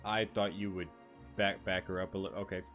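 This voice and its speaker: A man's voice: low-pitched, little pitch movement, fairly quick, a bit bright, faint music behind. A young man giving casual, chatty commentary as a gaming streamer, reacting informally as he plays.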